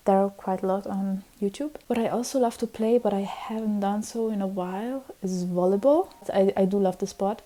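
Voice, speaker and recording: Woman, twenties, Hungarian native, rambling casual vlog chat, very mellow dark tone. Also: mid-range voice, normal speed, few pauses. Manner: rambling, many fillers and restarts. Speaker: vlogger.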